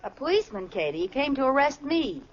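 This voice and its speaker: An actress playing a flighty, guilty but good-hearted housewife in 1940s radio comedy, with a coy, theatrical innocence. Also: female voice, sits high, very animated, fast talker. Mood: guilty, resolute. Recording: dull, noisy old radio recording.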